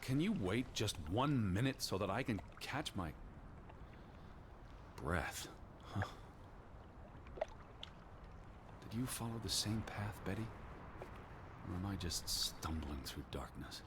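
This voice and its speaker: Low-voiced, anxious American man, very expressive. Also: unhurried, long pauses. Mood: weary, breathless. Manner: formal, weary, exasperated, precise. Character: anxious, reserved, rational American naturalist of the 1920s. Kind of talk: video game voice acting. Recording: clear ambient noise behind voice.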